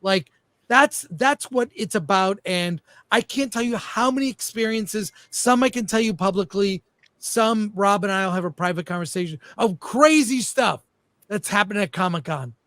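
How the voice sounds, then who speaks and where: A man's voice: high voice, normal speed, a bit bright, no room sound. A man telling anecdotes enthusiastically in casual talk show chat, as a host.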